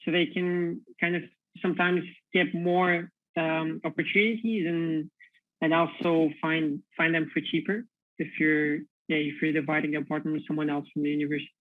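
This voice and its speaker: A young man from Portugal answers panel questions slowly and with care. He often doubles words while he looks for the next one. His voice is a touch high and stays flat, and it comes through a dry, tinny video call. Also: dry recording. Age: young adult. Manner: thoughtful, repeats words while searching for them. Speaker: panelist, university student.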